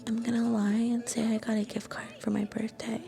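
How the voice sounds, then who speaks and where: A woman plays an affectionate, bashful young student girlfriend in an intimate ASMR audio drama. Her voice is high and mellow, with a noticeable creak at the edges. She talks softly and hesitantly, often dropping to a breathy whisper. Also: little pitch movement, a little fast. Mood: gentle, musing. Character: shy, sweet, affectionate young Japanese university student girlfriend. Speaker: ASMR roleplay performer. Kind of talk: soft-spoken ASMR girlfriend roleplay.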